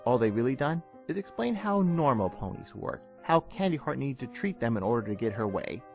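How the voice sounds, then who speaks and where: A man narrates a dramatic story with a theatrical, expressive delivery. His pitch moves in lively swings around a medium register, with soft music underneath. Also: a little fast, gravelly.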